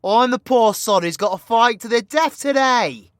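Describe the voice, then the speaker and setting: A man's voice: very high-pitched, animated, moderate pace, clear, resonant tone, no room sound, studio quality. A British man voicing a cocky, crude, flirty supervillain in a roleplay audio, with sweary, teasing, slangy banter. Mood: loud indignant teasing. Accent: British English. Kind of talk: villain roleplay ASMR audio drama.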